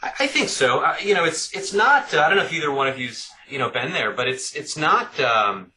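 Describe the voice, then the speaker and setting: Bright-voiced man musing in an interview. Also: a little high, very animated, a little fast. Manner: thoughtful, repeats words while working out ideas. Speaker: author guest. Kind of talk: conversational interview answers.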